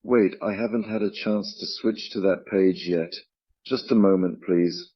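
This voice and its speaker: A man's voice: low-pitched, measured pace, no echo, dull audio. A man whose first language is Russian, presenting a game project in casual, enthusiastic livestream chat.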